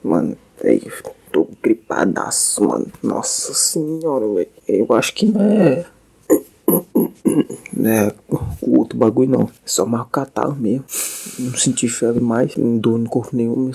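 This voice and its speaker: Gravelly young Brazilian man vlogging. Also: medium pitch, animated, normal speed, warm tone, low-quality recording. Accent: Brazilian Portuguese. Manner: slangy, laid-back, joking. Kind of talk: casual home vlog chat. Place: at home.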